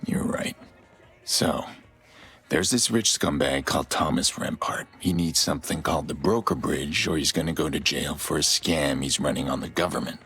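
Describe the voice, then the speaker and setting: An actor playing a gruff middle-aged vigilante hacker in a video game, terse and deadpan, with a gravelly voice. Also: male voice, normal speed, faint music behind, heavily acted. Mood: weary, serious. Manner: terse, low-key, deadpan. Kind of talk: video game dramatic acting.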